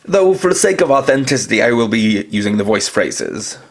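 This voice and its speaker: Man calmly explaining a toy, step by step. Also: medium pitch, fairly quick. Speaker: toy reviewer. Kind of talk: toy review demonstration.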